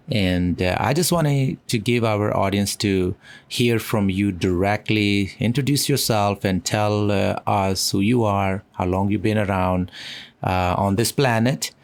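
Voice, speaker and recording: A man hosting a podcast, asking questions haltingly with many fillers. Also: fairly low pitch, vocal fry. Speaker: podcast host. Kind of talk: casual interview. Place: Manhattan.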